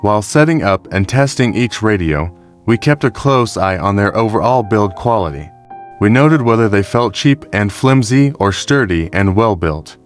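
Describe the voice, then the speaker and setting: A man reads a scripted product review narration in a measured, explanatory way. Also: fairly low pitch, lively intonation. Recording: music behind the voice. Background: background music.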